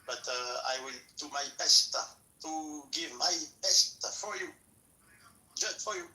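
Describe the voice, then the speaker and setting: A man from France speaks English with a French accent, slow and halting, stopping often to find the next word. His voice is very bright and somewhat high for a man. He sounds distant from the mic in an echoey space. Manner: halting, searching for words with many pauses. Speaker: guest speaker in a live audio room. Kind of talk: casual political chat.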